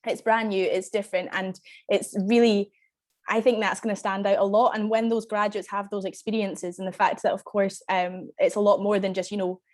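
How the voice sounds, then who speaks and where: A woman with a cold, sounding croaky as a guest speaking earnestly on a video call. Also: mid-range voice, little pitch movement, normal speed, darkish tone. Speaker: guest from a graduate events group. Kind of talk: casual remarks on a video call.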